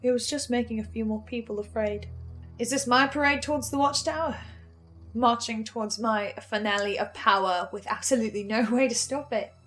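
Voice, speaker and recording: A woman playing an anxious, brooding researcher, speaking a halting dramatic monologue with stammers and pauses. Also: a little high, a bit bright, close to an everyday voice. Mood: troubled, self-doubting. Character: anxious female researcher with ominous knowledge, brooding and defiant. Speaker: host reviewing a fiction podcast in character. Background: music.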